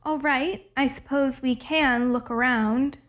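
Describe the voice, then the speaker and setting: Very high pitch, measured slow pace, woman playing practical, slightly impatient police officer, radio drama. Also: fairly even intonation, fairly bright tone. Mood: questioning. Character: matter-of-fact police officer, mildly impatient peacemaker. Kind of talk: radio play acting.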